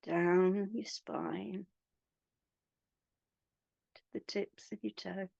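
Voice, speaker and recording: A woman guiding a meditation in a soothing, hushed manner, leaving long pauses between phrases. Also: mid-range voice, steady tone, creaky, no room sound, highs cut off. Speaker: meditation guide. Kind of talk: guided meditation.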